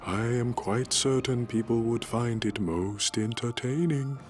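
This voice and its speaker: An actor playing a solemn cosmic being who speaks formally and pompously and longs to be an action hero. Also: male voice, medium pitch, unhurried, darkish tone, soft background music, close to an everyday voice. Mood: solemn, eager.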